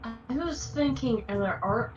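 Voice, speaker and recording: A woman's voice: on the high side, animated, a little slow, lo-fi sound, over music. A woman co-hosting a group reaction show with brash, sarcastic, sweary banter. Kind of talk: casual banter.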